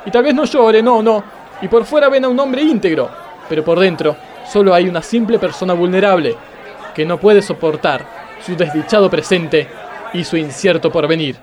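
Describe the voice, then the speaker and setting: A man's voice: sits high, normal speed, natural voice. An Argentine man playing a pompous, exasperated, self-pitying judge in a comic radio play, declaiming melodramatically. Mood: melodramatic self-pity. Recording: crowd noise behind the voice.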